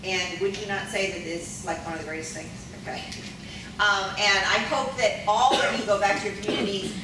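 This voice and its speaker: A middle-aged woman from Maryland giving a conference talk with a loud teacher voice, full of ums and questions to the room. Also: medium pitch, steady tone, fairly quick. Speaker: conference speaker. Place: conference hall. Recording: echoey hall, distant mic, noisy.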